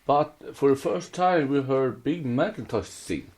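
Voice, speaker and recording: A Swedish man co-hosts a casual podcast in accented English. His voice sits in a middle register. He talks slowly, with halting, loosely built sentences. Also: medium pitch, animated, a little mellow, breathy edge. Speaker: podcast co-host. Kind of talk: casual fan podcast chat.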